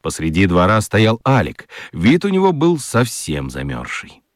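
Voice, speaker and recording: A man narrating a children's radio story in an expressive, scripted storytelling style. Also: on the low side, measured pace, dry recording, studio quality.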